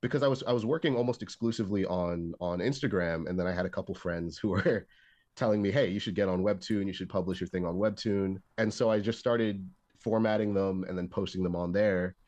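A man's voice: low voice, brisk, a little mellow, no room sound. A young man chatting as a podcast guest, rambling with restarts and upbeat energy. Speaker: podcast guest, comic artist and animator. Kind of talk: casual chat.

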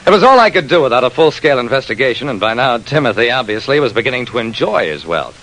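A man's voice: medium pitch, very animated, fast, a bit bright, poor audio. A man playing a terse, wry private detective who narrates his own case in an old-time radio drama. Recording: vintage radio recording.

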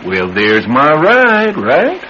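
An actor playing a surly, menacing young drifter in a radio drama, talking in curt, insolent slang. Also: male voice, fairly high pitch, huge pitch swings, extremely slow, bright, forceful tone, heavily acted. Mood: menacing, demanding. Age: young adult. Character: surly young male drifter, menacing, impatient, uneducated tough. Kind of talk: classic radio drama acting. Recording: muffled, noisy vintage radio recording.

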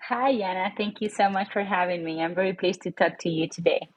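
A woman's voice: lowish voice, steady tone, brisk, husky, low-quality recording. A woman from Puerto Rico, an author and professor being interviewed, speaking thoughtfully with many fillers. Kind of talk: interview, casual conversation.

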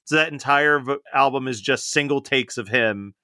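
Bright-voiced man sharing music trivia. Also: mid-range voice, normal speed, no echo. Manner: relays researched facts. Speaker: podcast co-host. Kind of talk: casual chat.

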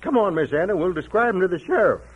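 Male: excitable raspy old-timer, Western American accent, radio-western watchman, highish voice, phone-like sound. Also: quick pace. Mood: indignant. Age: older.

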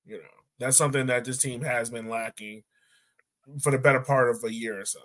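A man with a medium-pitched voice hosts a casual sports discussion. He talks conversationally and offers his own opinions as he goes. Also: fairly even intonation, fairly quick, a bit bright. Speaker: podcast host.

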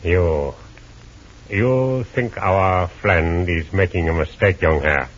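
Deep, husky, courtly old sage. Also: male voice, slow pace. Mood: courtly, resigned. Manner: ornate, humble, formal. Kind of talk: 1940s radio serial acting. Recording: muffled old radio recording.

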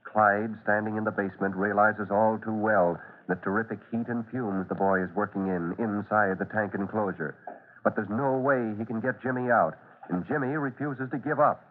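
A man's voice: fairly low pitch, normal speed, phone-quality sound. A man narrating an old-time radio serial as its announcer, with urgent, emphatic, theatrical delivery. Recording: thin, band-limited old radio recording.

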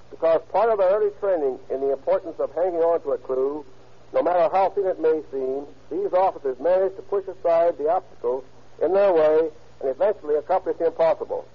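A man's voice: a little high, a little fast, long pauses, slightly rough. A police captain, a man, giving a stiff, formal, moralizing scripted introduction on 1930s radio. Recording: muffled old radio recording.

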